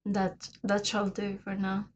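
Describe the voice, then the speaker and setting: A woman hosting a laid-back livestream chat, her voice raspy and hoarse today, often laughing between remarks. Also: mid-range voice, monotone, measured pace, highs cut off, slight background noise. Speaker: livestream host. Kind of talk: casual chat with viewers.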